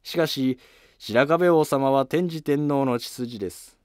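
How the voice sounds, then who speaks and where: A man's voice: medium pitch, a little slow, no echo, pitched above the performer's own voice, natural voice. Elderly royal court minister, played by a man: shrewd, formal, deferential, historical drama, dry recording. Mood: politely arguing.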